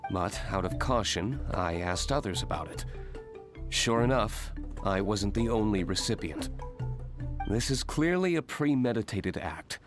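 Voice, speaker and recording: A man voicing a guarded, skeptical but quietly considerate investigator in a video game, composed and formal. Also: lowish voice, animated, unhurried. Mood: wary, composed. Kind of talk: scripted video game character dialogue. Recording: music behind the voice.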